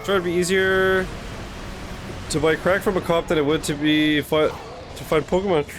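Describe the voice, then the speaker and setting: A man's voice: fairly high pitch, flat delivery, moderate pace, poor audio. A man hosting a reaction stream, chatting casually in a slangy, sweary, rambling way. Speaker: reaction video host. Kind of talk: casual reaction chat with viewers. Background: music from the game.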